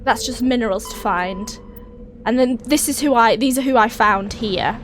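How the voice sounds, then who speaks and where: A woman with a cold and a raspy, hoarse voice, chatting casually as she plays a video game and thinks aloud. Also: on the high side, moderate pace, soft background music. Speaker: let's play host. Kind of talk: casual gameplay commentary. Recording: voice over steady game engine noise.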